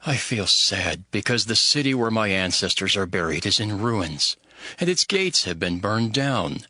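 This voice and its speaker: A man's voice: on the low side, big pitch swings, unhurried, bright, forceful tone, no room sound, clean studio sound, exaggerated voice. A man playing a devout, sorrowful Hebrew cupbearer who earnestly narrates his own story in a dramatized audio Bible. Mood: anxious, respectful. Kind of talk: dramatized first-person scripture narration. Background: background music.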